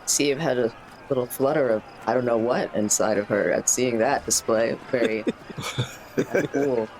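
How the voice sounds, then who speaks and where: A man's voice: mid-range voice, measured pace, darkish tone, rough recording. Rambling man improvising in a tabletop game. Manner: rambling, self-interrupting. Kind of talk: casual improvised roleplay narration. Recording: faint background noise.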